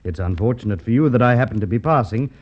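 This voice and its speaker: An actor playing a brisk, shrewd male police inspector, in vintage radio drama style. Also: mid-range voice, lively intonation, dull audio, natural voice. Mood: shrewd, accusing. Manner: commanding, measured questioning. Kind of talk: Golden Age radio drama acting.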